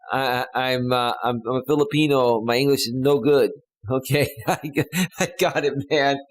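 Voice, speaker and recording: A man's voice: medium pitch, steady tone, a little slow. A man hosting a casual live stream as a life coach, joking loosely with his chat viewers. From United States.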